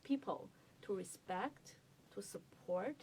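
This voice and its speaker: A woman with a Chinese accent explaining research as a guest expert, careful and halting with frequent fillers. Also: medium pitch, expressive, darkish tone, slight background noise. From China. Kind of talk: academic explanation.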